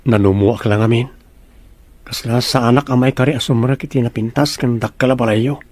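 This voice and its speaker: A man's voice: mid-range voice, steady tone, fairly quick, darkish tone, natural voice. Man acting a bashful young farmer in love. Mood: shy, respectful. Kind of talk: radio-style Ilocano drama acting.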